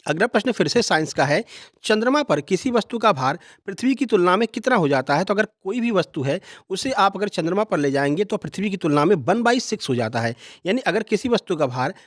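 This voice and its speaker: High-pitched man teaching. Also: lively intonation, a little fast, no room sound, clean studio sound. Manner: instructive, repeats key facts for emphasis. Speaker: exam coaching teacher. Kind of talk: explanatory exam review lesson, question and answer.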